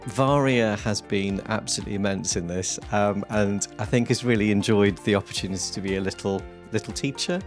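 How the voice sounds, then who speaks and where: Teacher, man, 40s, earnest interview voice, low-pitched, music underneath. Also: fairly quick, few pauses. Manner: earnest, with many fillers. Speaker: school teacher. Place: classroom. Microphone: handheld mic. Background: soft guitar music.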